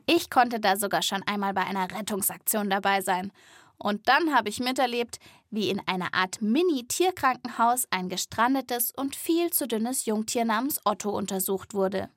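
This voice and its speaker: A woman narrates a children's animal podcast in a lively, playful way, speaking straight to young listeners. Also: mid-range voice, moderate pace, no room sound, clean studio sound.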